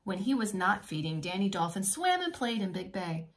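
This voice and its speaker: A woman preschool teacher reading a children's picture book aloud in an expressive, teacherly way with simple asides. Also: medium pitch, normal speed.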